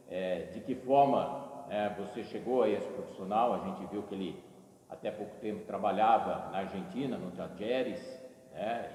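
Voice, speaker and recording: A man's voice: lowish voice, a little mellow. A man with a Brazilian accent hosting an interview, asking long rambling questions. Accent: Brazilian Portuguese. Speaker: interviewer, channel host. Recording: very echoey room with long reverb.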